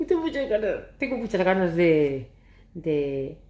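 Woman vlogger, 30s, hoarse, rambling, mellow low voice, phone at arm's length, echoey living room. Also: very animated, unhurried. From Catalonia. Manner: chatty, ironic, rambling. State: hoarse from shouting. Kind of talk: casual chat to camera. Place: living room sofa, indoors. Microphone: phone camera at arm's length.

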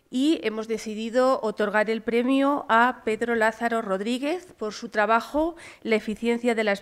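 Middle-aged female prize presenter, smooth clear voice, semi-formal announcement a podium. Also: fairly high pitch. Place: auditorium stage at a conference. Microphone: gooseneck podium microphone.

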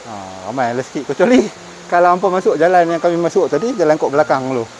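High-voiced northern Malay man vlogging outdoors. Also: animated, fast talker, darkish tone, slight background noise, rough recording. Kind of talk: casual walking vlog chat. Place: outdoors on forest park trails by a cave. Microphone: camera at arm's length.

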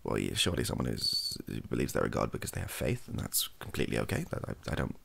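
A young British man chatting softly and casually in an ASMR style, rambling and hesitant, with a gravelly, husky voice. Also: a bass voice, big pitch swings, fast. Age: young adult. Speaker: content creator. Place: small cluttered bedroom, indoors.

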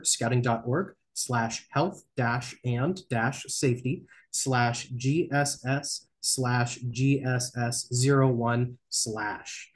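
A man's voice: medium pitch, poor audio. Young man briefing from slides. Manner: reads slide points, spells out links slowly, some fillers. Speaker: camp staff presenter. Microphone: webcam on video call.

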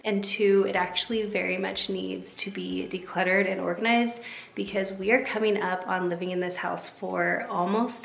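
Chatty upbeat woman vlogger, slight creak. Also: medium pitch, a bit bright. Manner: enthusiastic, chatty, addresses viewers directly. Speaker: homemaking vlogger. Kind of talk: upbeat cleaning vlog talk.

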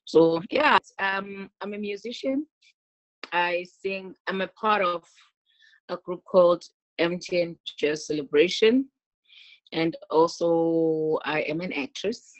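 A South African woman in her thirties talking casually about her life on a video call, chatty and quick to laugh. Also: fairly low pitch, no echo, poor audio. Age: 30s. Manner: chatty, laughs often, many restarts. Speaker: interview guest, gospel singer. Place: small room at home. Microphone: laptop webcam on a video call.

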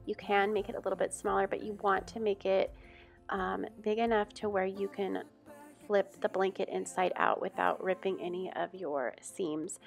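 A relaxed woman in her thirties walks through a simple home project step by step, with easy fillers along the way. Her voice has a gravelly edge, and soft music sits faintly behind her. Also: medium pitch, moderate pace. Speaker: home vlogger. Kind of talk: casual tutorial voice-over.

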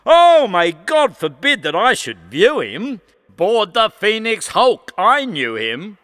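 A man's voice: high-pitched, huge pitch swings, takes it slowly, sharp tone, voice pushed up, exaggerated voice. A man voicing a defiant convict poet who declaims earnestly and indignantly in a recited ballad. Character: Frank, defiant male convict poet, bold and plainspoken. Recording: long reverb hall.

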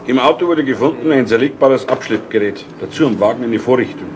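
An actor playing a plodding, factual police forensics officer in a 1970s radio crime play. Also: male voice, mid-range voice, fast, lightly acted. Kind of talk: 1970s German radio crime drama acting. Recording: noisy vintage radio play recording.

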